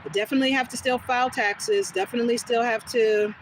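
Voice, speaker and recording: A woman with a smooth, soothing voice, chatting casually with viewers during a live stream and answering their comments as she goes. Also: fairly high pitch, fast talker. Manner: relaxed, rambling, talks back to chat comments. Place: outdoors on a quiet street. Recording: noisy outdoor phone recording.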